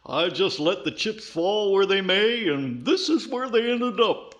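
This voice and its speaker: A man playing a dim-witted, good-natured country-bumpkin dummy with a slow, hesitant, dopey drawl, in 1940s radio comedy style. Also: sits high, expressive, a bit bright, a little hiss or hum. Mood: dopey, cheerful.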